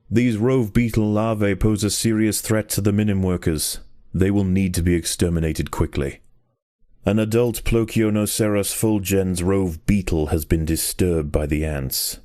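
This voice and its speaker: A man performing a solemn nature documentary narrator for a game, measured and ominous in delivery. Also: deep voice, dark tone, no echo, studio quality. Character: grave nature documentary narrator of ant wars.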